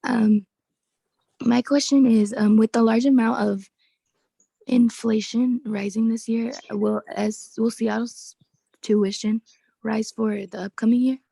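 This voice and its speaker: A woman with a cold asks her question slowly and hesitantly. Her voice is deeply gravelly and husky, dark in tone, and heard very dry. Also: steady tone, dry recording, low-quality recording. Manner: hesitant, apologetic. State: has a cold. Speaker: student.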